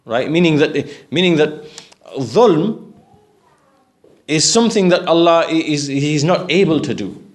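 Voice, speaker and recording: Higher-voiced man giving a religious lecture. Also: animated, unhurried. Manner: didactic, checks understanding with questions. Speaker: religious teacher.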